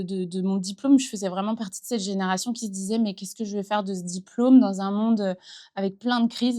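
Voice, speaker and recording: A thirty-year-old woman from western France talks through her path in an earnest, reflective way. Her voice sits at a middling pitch, and she speaks in a steady flow with many small fillers. She was recorded in a small improvised studio. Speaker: entrepreneur guest. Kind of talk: casual interview conversation. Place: improvised studio in a concert hall dressing room.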